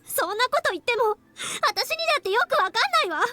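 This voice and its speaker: A cute schoolgirl from an anime visual novel. Her voice is tiny and very high, the delivery quick and emotional. Music plays behind her. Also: animated, pushed and bright, no echo, exaggerated voice. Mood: distressed, defensive. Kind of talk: Japanese visual novel voice acting. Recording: game audio with music behind.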